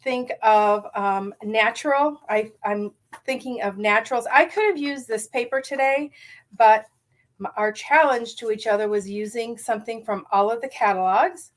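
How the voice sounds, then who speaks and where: A middle-aged woman with a slightly raspy morning voice leads a casual live card-making tutorial, chatty and enthusiastic with many ums. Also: on the high side, normal speed.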